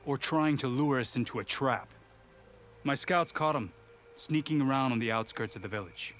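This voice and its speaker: An actor playing a proud, suspicious village leader with an accusing, declamatory manner in a video game. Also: male voice, mid-range voice, moderate pace, a bit bright, a little hiss or hum, close to an everyday voice. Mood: scornful. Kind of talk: video game cutscene acting.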